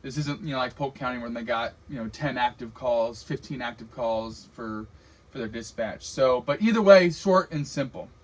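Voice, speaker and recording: A man's voice: mid-range voice, moderate pace, poor audio. An American man giving an informal fire radio training lecture, explaining in a casual, plain-spoken way as the trainer. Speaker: firefighter radio communications trainer.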